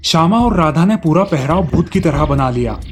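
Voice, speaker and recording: A man's voice: a little high, expressive, normal speed. A man narrating a scripted cartoon story as its storyteller. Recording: music behind the voice.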